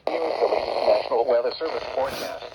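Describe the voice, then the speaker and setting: A man's voice: mid-range voice, wildly swooping pitch, normal speed, vocal fry. A man's voice reading a scripted weather forecast as an announcer, heard through a radio speaker. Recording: noisy radio broadcast played through small speaker.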